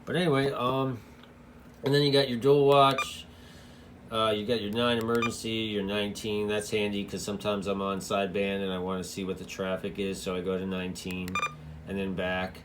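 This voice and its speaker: A man's voice: fairly low pitch, flat delivery, normal speed, background noise. A man giving a casual, loosely explained product walkthrough as a radio hobbyist reviewer, in an informal, rambling way.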